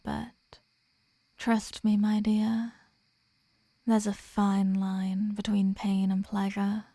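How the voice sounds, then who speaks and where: Woman playing a very slow, sultry vampire mistress. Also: medium pitch, warm tone, dry recording. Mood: savoring, seductive. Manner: slow, teasing, commanding, breathy half-whispers. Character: sadistic, dominant female vampire, seductive and possessive. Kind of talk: ASMR roleplay.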